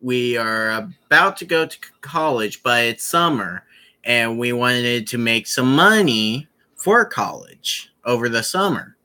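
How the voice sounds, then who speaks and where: A young man chatting casually to his viewers on a live stream, rambling and halting, with many fillers and restarts. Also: mid-range voice, expressive, bright, forceful tone.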